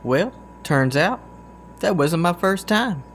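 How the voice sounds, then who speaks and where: An actor with a Southern US accent playing a goofy, good-natured country man in an audio drama, talking in a folksy, drawn-out way. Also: sits high, slight background noise, heavily acted. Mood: animated storytelling. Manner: folksy, drawn-out, excitable storytelling. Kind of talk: scripted audio drama dialogue.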